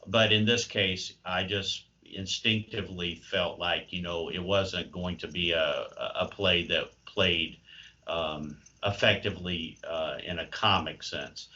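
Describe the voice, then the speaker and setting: An American man giving unscripted interview answers as a guest, halting as he searches for words, over a muffled remote call. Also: low voice, bright, forceful tone. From United States.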